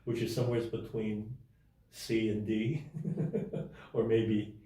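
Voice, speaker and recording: A man telling his life story as an interview guest in a casual, halting way, with many restarts and fillers. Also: on the low side, fairly even intonation, warm tone, heavy reverb, distant mic.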